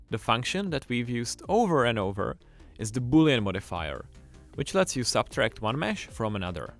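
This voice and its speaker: Male tutorial narrator, mid-pitched and animated, scripted and enthusiastic, faint music underneath. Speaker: tutorial presenter.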